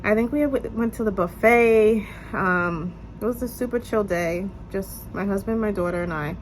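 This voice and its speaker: A woman's voice: mid-range voice, normal speed, slight background noise, low-quality recording. A young woman with a stuffy, slightly nasal voice tells a casual story time to her camera at home, with lots of fillers. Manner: chatty, lots of "um" and "you guys". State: congested, a little nasal. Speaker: vlogger. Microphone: camera on tripod.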